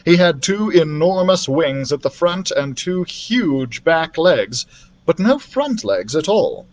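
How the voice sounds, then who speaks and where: Animated man reading a children's story aloud. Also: a little high, takes it slowly. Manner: animated, acts out the characters' dialogue. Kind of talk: expressive read-aloud narration of a children's fantasy story.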